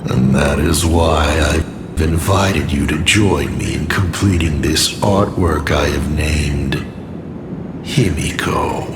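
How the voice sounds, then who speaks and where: A man voicing a scheming artist villain in a video game, with a grandiose, measured, taunting delivery. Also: extremely low pitch, heavy background noise. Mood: grand, taunting. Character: theatrical scheming male artist villain playing games of secrets. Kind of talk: dramatic video game acting. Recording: loud music behind. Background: loud music.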